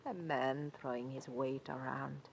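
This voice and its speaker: Cool foreign femme fatale, low creaky voice. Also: female voice, fairly even intonation, unhurried, full character voice. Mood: guarded, cool. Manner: cool, guarded, coy. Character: cool, alluring, guarded young Hungarian refugee woman. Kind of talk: 1940s radio crime drama acting. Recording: old radio recording with faint noise.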